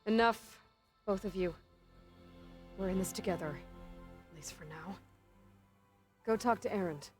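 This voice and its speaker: A woman's voice: mid-range voice, unhurried, long pauses, faint music behind, close to an everyday voice. An actress playing a blunt, guarded young warrior woman who leads with dry authority in a video game. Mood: firm, commanding. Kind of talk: naturalistic game acting.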